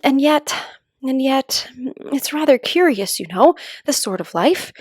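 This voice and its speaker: A dreamy, curious little girl from a storybook, played by a woman. Her voice is fairly high and a bit prim as she reasons things out aloud to herself. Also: animated, unhurried, a little mellow, dry recording, clean studio sound. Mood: wondering. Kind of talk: children's storybook read-aloud.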